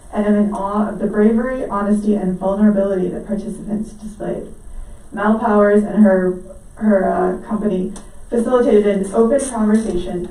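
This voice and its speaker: A woman reads prepared remarks aloud at a school board meeting, steady and formal. Her voice is mellow and dark. It sounds far from the mic and rings heavily around the room. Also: mid-range voice. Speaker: parent speaking at public comment. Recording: very echoey room, picked up from afar.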